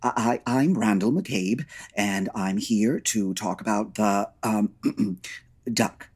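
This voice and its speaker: Husky, nervous, stammering lawyer. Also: male voice, lowish voice, slow pace, a little mellow. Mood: timid, polite. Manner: stammering, hesitant, formally courteous. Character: nervous, polite, flustered male lawyer for gangsters. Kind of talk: old-time radio comedy acting.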